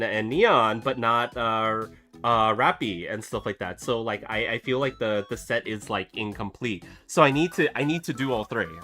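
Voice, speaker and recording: Rambling man, bright voice, soft music. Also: fairly low pitch. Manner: rambling, many fillers and restarts. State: recovering from a cough. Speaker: artist streaming a drawing session. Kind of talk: casual chat while drawing. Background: soft background music.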